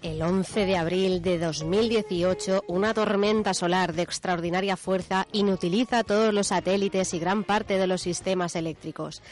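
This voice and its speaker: A woman's voice: fairly low pitch, steady tone, normal speed, few pauses, soft background music. A woman with a Spain accent co-hosting a radio show, moving between read script and casual talk. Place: radio studio.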